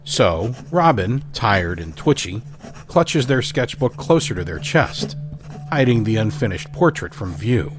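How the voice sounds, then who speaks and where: A man hosting and narrating an audio drama, reading a scripted story in a measured, deliberate manner. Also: fairly low pitch, big pitch swings, music in the background. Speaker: host and narrator.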